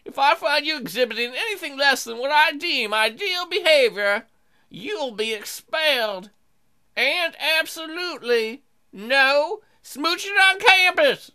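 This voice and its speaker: A woman voicing a pompous, stern male hammerhead shark principal in a visual novel, theatrical and drawn-out. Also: high-pitched, lively intonation, pushed and bright, pitched above the performer's own voice, exaggerated voice.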